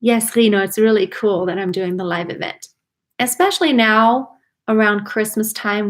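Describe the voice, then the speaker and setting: Female livestream host, native German speaker, singing teacher, highish voice, chatty and enthusiastic, speaks straight to the audience. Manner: chatty, enthusiastic, addresses viewers directly. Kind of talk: casual livestream talk to viewers.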